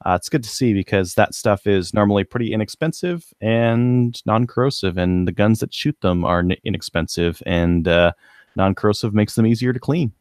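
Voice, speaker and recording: Man, Canadian accent, lower pitch, casual podcast chat, dry room sound. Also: normal speed, clean tone, no room sound. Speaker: podcast co-host.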